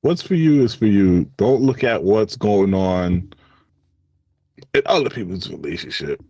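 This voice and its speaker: A man with a Haitian accent co-hosting a podcast in casual chat, animated and quick to laugh, his voice jumping high when he gets excited. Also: no echo, dull audio. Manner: lively, jumps high in pitch when excited, laughs often. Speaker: podcast co-host.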